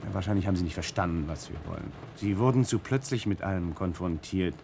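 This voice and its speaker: An actor playing a patient, reasonable member of a colonizing expedition in a German science-fiction radio play. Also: male voice, deep voice, quick pace, vocal fry, darkish tone, a little hiss or hum. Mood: patient, reflective.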